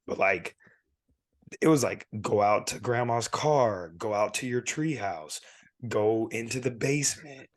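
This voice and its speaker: A man in his thirties from California, co-hosting a podcast and telling rambling, animated stories while losing his voice and clearing his throat. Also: medium pitch, a little slow, dry recording. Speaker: podcast co-host. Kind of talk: casual podcast chat.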